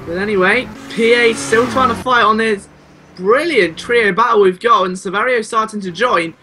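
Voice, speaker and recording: High-voiced man calling a motor race. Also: normal speed, soft background music. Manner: excitable, exclamatory. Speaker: sim racing race commentator. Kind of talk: live play-by-play motorsport commentary. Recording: clear car engine noise behind. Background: racing car engines.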